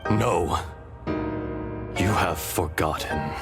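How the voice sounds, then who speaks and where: An ancient dragon spirit in human form, low-pitched and unhurried. He speaks with solemn, measured gentleness, like a wise game character, over music. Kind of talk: dramatic video game acting.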